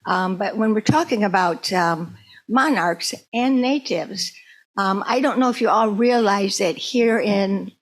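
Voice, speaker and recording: Female community presenter, mid-range voice, conversational and slightly halting educational delivery. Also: medium pitch, rough recording. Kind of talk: informal educational talk to a group.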